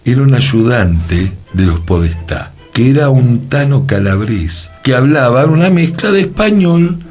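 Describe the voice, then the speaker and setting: A husky-voiced man with a Rioplatense Argentine accent presents on radio. His pitch moves in lively swings, and music plays behind him. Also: unhurried.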